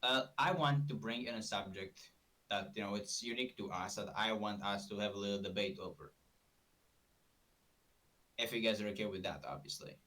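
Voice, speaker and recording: A man's voice: fairly low pitch, normal speed, pushed and bright. A man from Romania, hosting a casual live stream in a rambling, self-correcting way, a bit far from the mic. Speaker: streamer host.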